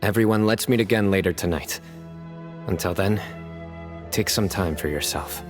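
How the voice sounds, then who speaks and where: A man voicing a deep, stern desert guardian. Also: normal speed, over music, natural voice. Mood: serious. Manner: low, terse and serious. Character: stern young male desert guardian, reserved. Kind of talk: video game voice acting.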